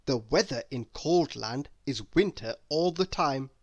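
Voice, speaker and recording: Children's read-aloud narrator, expressive, swooping pitch, unhurried pace, dry recording. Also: no room sound. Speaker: storyteller reading a children's book aloud.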